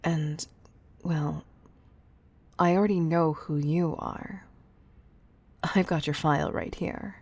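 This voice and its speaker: A woman playing a composed, commanding CEO in an intimate roleplay audio, speaking in a measured, teasing, patronizing way with affectionate firmness. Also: deep voice, steady tone, a little mellow, no room sound, full character voice. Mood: poised, authoritative.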